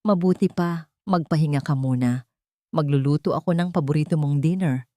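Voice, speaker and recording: A woman voicing a cruel, scheming young fiancée who turns from sharp threats to false sweetness. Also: deep voice, moderate pace, no echo, studio quality, close to an everyday voice. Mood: nervous.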